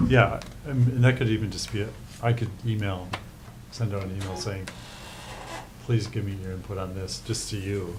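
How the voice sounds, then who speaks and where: A man with a very low-pitched voice takes part in a meeting discussion. He speaks informally. The recording carries clear background noise. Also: steady tone, moderate pace. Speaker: public meeting participant. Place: indoor meeting room. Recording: noisy meeting room recording.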